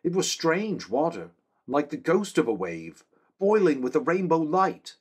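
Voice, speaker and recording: A man reading aloud gives a young man-at-arms from a fantasy novel. He pitches the voice well up and loud, with a husky edge. The soldier sounds dazed and full of wonder. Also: big pitch swings, measured pace, full character voice.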